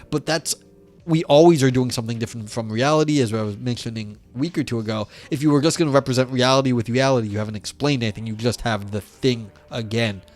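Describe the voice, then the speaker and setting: A man reading philosophy papers aloud on a live stream and chatting with viewers in a rambling, filler-heavy way, his voice wearing thin. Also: mid-range voice. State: losing his voice.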